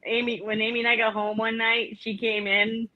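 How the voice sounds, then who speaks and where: A woman's voice: a little high, flat delivery, moderate pace, narrow, tinny audio. A woman in her early forties chatting on a panel, swearing freely and laughing often. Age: 40s. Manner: profane, laughing, storytelling. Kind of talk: casual panel chat.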